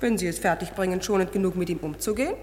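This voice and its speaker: A woman playing a brisk, protective hospital nurse in a German radio crime play, scolding and authoritative. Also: lowish voice, a little mellow, close to an everyday voice. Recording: reverberant vintage radio play, faint noise.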